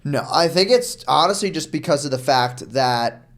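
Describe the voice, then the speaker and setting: A young man from New York, a guest on a podcast, talking fast and casually, throwing in "dude" and "like" often. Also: a little high, lively intonation, a bit bright. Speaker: guest, marketer.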